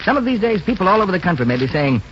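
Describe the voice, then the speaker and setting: A man with an American accent, reading scripted announcements and sponsor pitches in 1940s radio style. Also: big pitch swings, very fast, a little hiss or hum. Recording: muffled 1940s radio broadcast recording.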